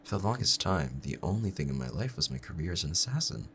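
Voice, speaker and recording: A man playing a calm, proud assassin in love, both menacing and tender, talking to the listener in a measured, formal, confiding way in an audio roleplay. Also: deep voice, a little hiss or hum. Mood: tender, regretful. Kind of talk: scripted romantic ASMR audio roleplay.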